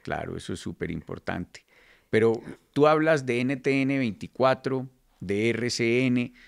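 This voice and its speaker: A man hosting an interview in a measured, ceremonious way. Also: mid-range voice, vocal fry, smooth. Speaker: interview host. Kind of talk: podcast interview, formal introduction and questions.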